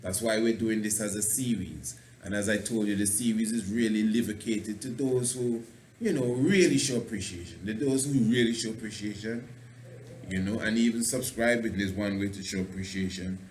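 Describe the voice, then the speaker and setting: A passionate man hosts a radio talk show as a preacher, rambling and playing with words. His voice sits a little low and carries a roomy echo. A faint noise runs under his speech. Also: normal speed, darkish tone. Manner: passionate, rambling, fond of wordplay. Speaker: radio show host and priest.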